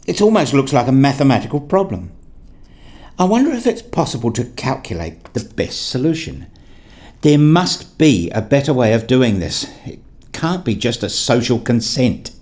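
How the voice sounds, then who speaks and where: A man's voice: mid-range voice, sing-song, normal speed, a little mellow, slightly rough. Dry, bookish male academic played by an actor. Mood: pondering. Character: wry male academic narrating his own puzzled days. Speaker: narrator and lead character in a radio play. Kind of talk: scripted first-person narration and dialogue.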